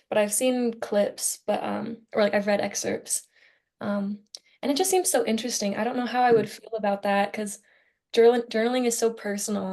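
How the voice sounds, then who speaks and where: A woman's voice: mid-range voice, a little mellow. A young American woman, a student co-hosting a podcast, talking in a chatty, informal way and thinking aloud. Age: young adult. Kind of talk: casual chat.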